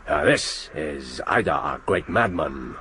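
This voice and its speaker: An actor playing a genial, courteous middle-aged Japanese spymaster in a radio drama, with a Japanese accent and formal, effusive manner. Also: male voice, a bass voice, expressive, slow, bright, forceful tone, exaggerated voice. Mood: grave, apologetic. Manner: formal, hesitant interjections, effusive. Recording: noisy radio drama with background ambience.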